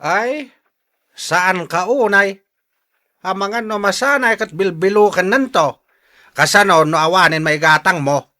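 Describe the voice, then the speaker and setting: A man's voice: high-pitched, unhurried, pauses often, bright, forceful tone. A man with an Ilocano accent playing a fretful, nagging older father in a melodramatic radio drama. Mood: worried.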